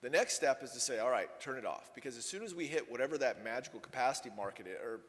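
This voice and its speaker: A man's voice: medium pitch, animated, fast talker, a bit bright. A man giving a conversational, wry conference talk on policy, often correcting himself mid-sentence. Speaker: energy policy speaker. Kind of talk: conference presentation with Q&A. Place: conference hall. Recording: long hall reverb.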